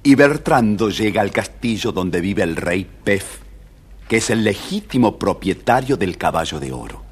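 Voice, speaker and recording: A man with an Argentine accent and a husky voice, narrating a scripted story for children. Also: fairly low pitch, expressive, unhurried, a little hiss or hum.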